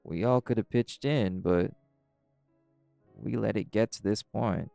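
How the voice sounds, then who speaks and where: A man playing a calm, caring were-dog pack alpha in an audio roleplay, gently reassuring a friend. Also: lowish voice, measured pace, gravelly, dry recording, faint music behind. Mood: steady, earnest. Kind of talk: audio roleplay acting, intimate comforting talk.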